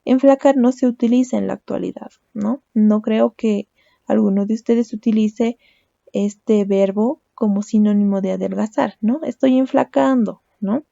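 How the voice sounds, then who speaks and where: Gravelly, dark-voiced woman teaching. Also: mid-range voice, steady tone, normal speed, no echo, lo-fi sound. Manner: explains step by step, ends phrases with a questioning "¿no?". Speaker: language teacher. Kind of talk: recorded lecture.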